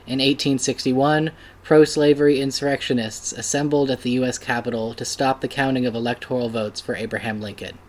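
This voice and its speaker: Man reader, plain even narration, mid-pitched voice. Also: a little fast. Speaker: reader at a church table reading. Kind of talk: reading an essay aloud.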